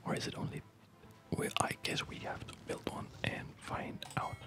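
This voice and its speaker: Someone whispering breathy ASMR commentary while playing a video game, musing aloud in short, halting phrases. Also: sharp tone, soft background music. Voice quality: breathy, mostly unvoiced whisper. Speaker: gamer narrating own gameplay.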